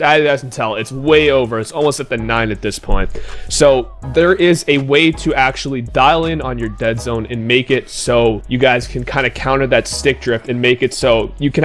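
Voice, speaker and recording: A man who is slightly hoarse gives a casual gaming tutorial, speaking straight to his viewers and often saying "like". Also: mid-range voice. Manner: casual, talks straight to viewers, many "like" fillers. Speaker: gaming YouTuber.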